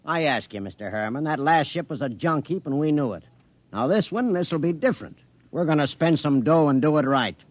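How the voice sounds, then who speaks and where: An actor playing a folksy, defensive, eager ship mechanic in a 1950s radio drama, talking in colloquial slang. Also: male voice, a little high, lively intonation, brisk, dry recording, lightly acted.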